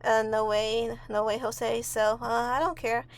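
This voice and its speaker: High-voiced woman, rambling casually. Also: flat delivery, a little slow. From Mexico. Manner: offhand, self-deprecating, sweary. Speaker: video artist and host. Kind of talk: casual rambling voice-over commentary. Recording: amateur home recording with music underneath. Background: music.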